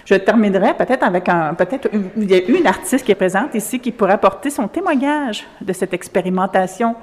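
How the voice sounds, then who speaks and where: Woman radio host, enthusiastic and effusive, mid pitch, reverberant gallery room. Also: animated, a little fast, a little mellow. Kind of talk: on-site radio interview. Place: art gallery.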